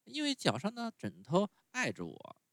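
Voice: medium pitch, huge pitch swings, normal speed, dry recording, clean studio sound, voice pushed up. A storyteller voicing a stubborn, whining wooden puppet boy who is sick in bed. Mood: whiny. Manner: whining, pleading, wailing.

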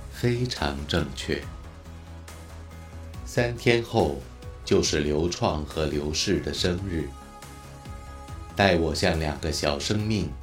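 A man playing an ancient, benevolent cosmic being in an audio drama, speaking slowly and solemnly with a booming, sonorous voice. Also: heavily acted.

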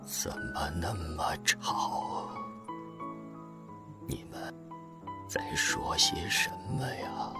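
A grumpy old grandfather in a radio drama, acted by a man, groggy and weary. His voice is extremely deep and drags very slowly, with music behind it. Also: exaggerated voice. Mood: groggy, irritated. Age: older.

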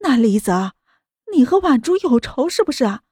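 An outraged mother defends her daughter in a raised, high, insistent voice, performed by a female storyteller. Her scolding rings out loud against a dry, close recording. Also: sing-song, moderate pace, no echo. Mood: indignant scolding. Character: indignant middle-aged mother, protective and shrill.

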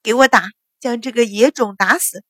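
A woman voicing a shrill, jealous noble wife who screams furious orders in a dry recording. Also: normal speed, a bit bright, no room sound.

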